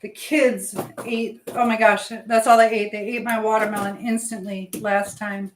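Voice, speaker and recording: A woman's voice: mid-range voice, level tone, moderate pace. A woman chatting casually and thinking aloud in a rambling way, as a livestream host busy in her home kitchen. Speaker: livestream host, language teacher. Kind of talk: casual live chat while cooking. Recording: roomy echo, clear background noise.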